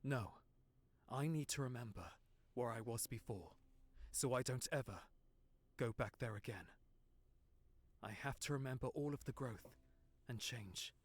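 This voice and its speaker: A man's voice: medium pitch, measured pace, pauses often, natural voice. A man acting an earnest, protective young prince with regrets, in a visual novel game. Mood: earnest, regretful. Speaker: game character.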